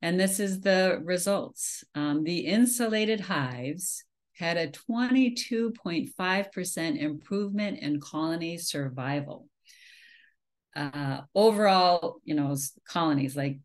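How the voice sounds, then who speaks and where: A woman's voice: fairly low pitch, measured pace. Chatty woman presenting over a webcam. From Minnesota. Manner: conversational, many fillers and restarts. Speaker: beekeeping presenter. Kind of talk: slide presentation on a video call. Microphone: webcam on a video call.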